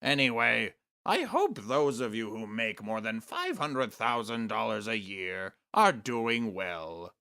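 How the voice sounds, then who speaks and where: A man's voice: lowish voice, very animated, takes it slowly, few pauses, sharp tone, full character voice. A man voicing a pompous, sinister aristocratic snake-man, grandiose and melodramatic, in a theatrical comedic monologue. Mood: mock-mournful, dismissive.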